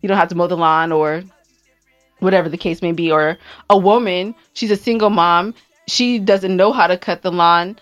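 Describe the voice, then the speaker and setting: A woman's voice: on the low side, steady tone, normal speed, soft background music. A woman hosting a podcast in casual, conversational chat. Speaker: podcast host.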